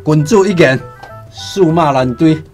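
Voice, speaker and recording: An actor in a radio drama playing a seasoned fortune teller, solemn and fatalistic, making deliberate pronouncements. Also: male voice, a little high, darkish tone, faint music behind, close to an everyday voice. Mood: assured. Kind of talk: Taiwanese radio drama acting.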